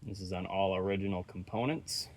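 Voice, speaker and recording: A man's voice: sits low, brisk, darkish tone. A man giving casual, hands-on repair commentary as a host, musing aloud in a laid-back way. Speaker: host of an electronics repair channel.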